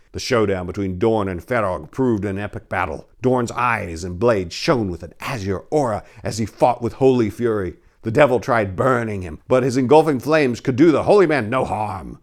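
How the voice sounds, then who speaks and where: Theatrical man with a lowish voice. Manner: theatrical, hammy. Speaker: narrator and story editor. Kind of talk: dramatic story narration and informal talk to listeners.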